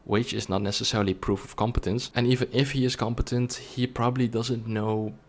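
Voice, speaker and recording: A man's voice: mid-range voice, level tone, a little fast. A man presenting an explanatory monologue to viewers in an earnest, didactic way. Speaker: language learning video presenter.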